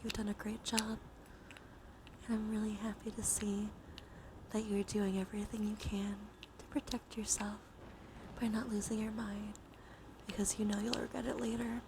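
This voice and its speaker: A woman doing live ASMR in a soothing, intimate, encouraging way, drifting between breathy whispers and soft speech. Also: mid-range voice, level tone, normal speed. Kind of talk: ASMR, whispered and softly spoken reassurance. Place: small warm room with computers running. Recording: noisy room ambience behind voice.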